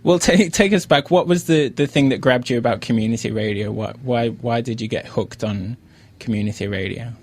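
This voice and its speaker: A man's voice: mid-range voice, brisk. An Australian man hosting a casual interview podcast from a radio studio.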